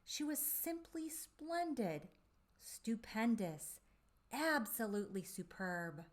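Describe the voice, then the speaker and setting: A woman reading a storybook aloud for young children, with deliberate, dramatic phrasing and drawn-out emphasis on key words. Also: fairly high pitch, big pitch swings. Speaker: children's storybook reader and presenter.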